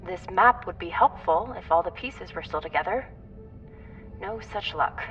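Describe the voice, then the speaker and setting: An actress playing a practical, chatty crew technician in a video game, conversational and a little dryly apologetic. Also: female voice, lowish voice, expressive, normal speed, a bit bright, recorded from a distance, lo-fi sound, faint music behind. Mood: matter-of-fact. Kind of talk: video game character acting.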